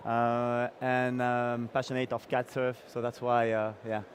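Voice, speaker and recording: A middle-aged man from Belgium giving a startup founder's pitch, hesitant and full of fillers and restarts. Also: completely flat delivery, measured pace, a little hiss or hum.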